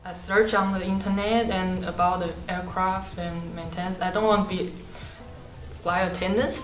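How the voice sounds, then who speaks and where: Flat-voiced woman student from Taiwan. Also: fairly low pitch, distant mic. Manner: simple halting English. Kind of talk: interview answers to camera. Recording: roomy room sound over background music.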